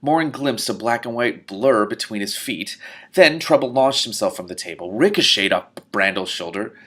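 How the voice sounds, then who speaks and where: Male story reader and commentator, fairly low-pitched, expressive, reactive, quick to exclaim. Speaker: host reading a novel aloud with reactions. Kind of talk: animated audiobook-style reading with spontaneous commentary.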